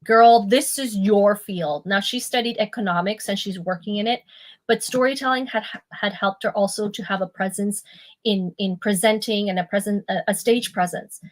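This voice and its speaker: A woman's voice: medium pitch, moderate pace. A woman from Peru who was raised in Canada, a storyteller who talks in a conversational, stop-start, self-deprecating way as a guest. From born in Peru, raised in Canada. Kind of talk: casual conversation.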